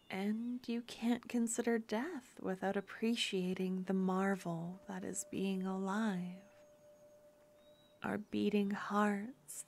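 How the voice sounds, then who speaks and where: A woman's voice: mid-range voice, vocal fry. Woman playing a whispering loving necromancer. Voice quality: soft whispering. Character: tender young woman necromancer, loving girlfriend. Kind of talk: ASMR fantasy roleplay.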